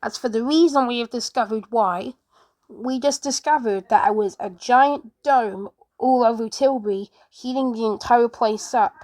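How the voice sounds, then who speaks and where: A woman narrating a homemade mock emergency broadcast in a halting way, often restarting her sentences. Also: a little high, a little mellow. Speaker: narrator of an emergency alert scenario video.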